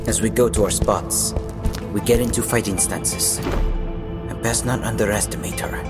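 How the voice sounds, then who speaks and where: A man's voice: lowish voice, steady tone, normal speed, lightly acted. A man acting in an audio drama as a young, casual and cocky fighter who narrates his own story in a wry way. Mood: focused. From Philippines. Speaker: voice actor narrating in character. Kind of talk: audio drama dialogue and first-person narration. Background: background music.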